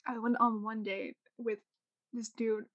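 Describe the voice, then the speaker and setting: A young woman chatting on a podcast, her voice a bit high and close to the mic. She rambles and restarts often. The sound is dry, with no room around it. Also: little pitch movement, a little slow, fairly bright tone, no room sound, lo-fi sound. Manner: rambling, many fillers and restarts. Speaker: podcast co-host. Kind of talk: casual podcast chat.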